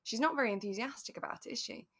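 A woman's voice: a little high, rapid-fire, a bit bright. A woman co-hosting a podcast, casually talking through a radio drama in a reflective, explanatory way. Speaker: podcast co-host.

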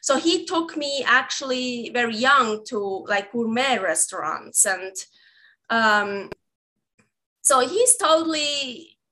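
A woman in her thirties with a Swiss accent and a high, bright voice. She talks in a rambling way, circling back and correcting herself mid-sentence, with frequent laughs. Her English is fluent but shaped by her Swiss background. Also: expressive, takes it slowly, low-quality recording. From Switzerland. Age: 30s. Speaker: guest, recipe developer. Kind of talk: casual interview conversation.

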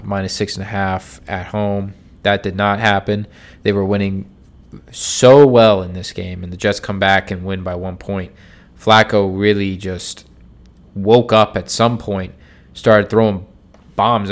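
Nasal man with a cold, low voice. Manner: low-energy, many ums and restarts. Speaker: sports betting podcast host. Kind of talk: casual solo sports picks talk.

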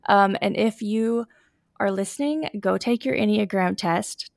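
A woman's voice: mid-range voice, measured pace, gravelly, dry recording, clean studio sound. A young adult woman hosting a casual podcast chat, her voice scratchy and a bit sniffly from allergies, with lots of fillers. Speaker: podcast host.

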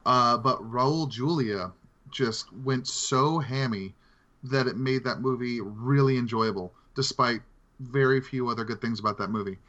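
Bright-voiced man in casual podcast chat, slightly muffled. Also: mid-range voice, measured pace. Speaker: podcast co-host. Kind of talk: casual chat, film opinions.

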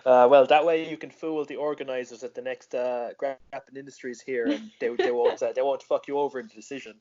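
A man's voice: medium pitch, steady tone, a little fast, a little mellow. A man hosting a casual chat, asking questions while swearing constantly and teasing his guest. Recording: dull lo-fi recording.

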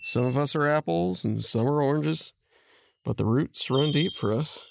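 A man playing a practical, protective brother in an audio drama, gravelly and wry, explaining things with incredulous patience. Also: fairly high pitch, normal speed, fairly bright tone. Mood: patient, caring.

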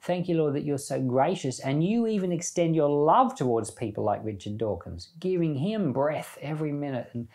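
A man's voice: on the high side, animated, moderate pace, hardly any pauses, a little mellow. A man speaking as a Bible teacher, giving informal commentary and prayer in an earnest, conversational way with emphatic repetition.

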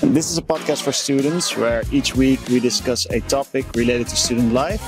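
A young man co-hosting a casual chat podcast, halting and full of fillers and restarts. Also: mid-range voice, music in the background. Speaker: podcast co-host.

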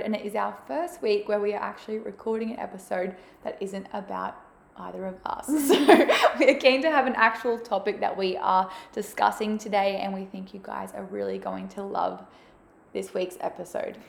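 A woman's voice: mid-range voice, steady tone, normal speed, vocal fry. A young woman co-hosting a casual chat in an upbeat way, laughing easily. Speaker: podcast co-host. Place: quiet room, shared mic. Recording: echoey room, faint background noise.

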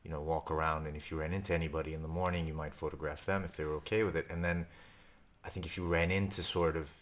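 A man from Turkey chatting as co-host of a casual podcast. His voice is extremely deep. He talks haltingly and hedges often as he goes. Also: little pitch movement. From Istanbul, Turkey. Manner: halting, with many "sort of" hedges. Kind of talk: casual conversation.

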